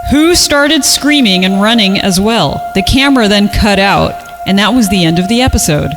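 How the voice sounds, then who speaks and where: A man's voice: sits high, animated, normal speed. A man reading a creepy story aloud for comedy, giggly and often breaking off to riff. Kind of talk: comedic read-aloud of a horror story with banter. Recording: music behind the voice. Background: music.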